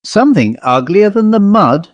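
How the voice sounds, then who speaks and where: A man's voice: high-pitched, big pitch swings, moderate pace, no room sound, muffled recording, voice pushed up. A man voicing a jovial, confident country squire in a Victorian ghost story, with hearty, exclamatory delivery. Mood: hearty, curious. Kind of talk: audiobook character reading.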